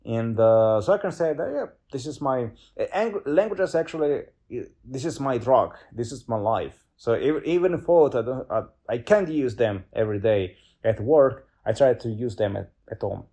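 A man's voice: medium pitch, lively intonation, moderate pace, few pauses, mellow. A young man with an Italian accent, a guest in an interview, speaking English as a second language with many fillers and restarts. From Italy. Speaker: guest polyglot. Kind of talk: casual interview chat.

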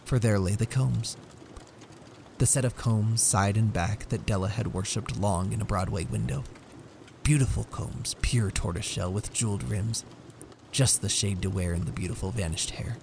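A man giving a measured, theatrical reading of a story as scripted narration. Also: on the low side, gravelly, background noise. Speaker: story reader.

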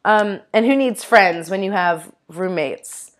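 Young woman, podcast co-host, hoarse and raspy with vocal fry, giggly, filler-heavy. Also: mid-range voice, slow pace, a crowd in the background. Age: young adult. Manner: giggly, says "like" often. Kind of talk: casual chat.

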